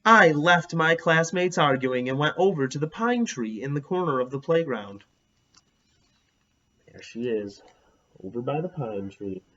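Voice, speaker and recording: A man reading a children's book aloud as an expressive storyteller. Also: fairly high pitch, measured pace, highs cut off.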